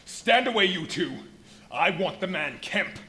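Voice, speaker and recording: A man's voice: sits high, animated, unhurried, sharp tone, heavily acted. A man playing a menacing invisible villain in a live radio play, giving curt, commanding threats. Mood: fierce. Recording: live stage recording, faint noise.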